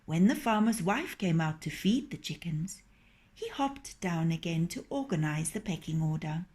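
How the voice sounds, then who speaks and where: A woman reading a children's story aloud in an expressive, lilting way. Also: fairly low pitch, normal speed.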